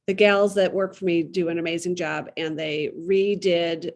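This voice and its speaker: A woman's voice: on the low side, fairly even intonation, moderate pace, a little mellow. Effusive Midwestern woman hosting a chat. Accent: Midwestern American. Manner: effusive, chatty. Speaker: podcast host. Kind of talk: casual chat. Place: needlepoint shop.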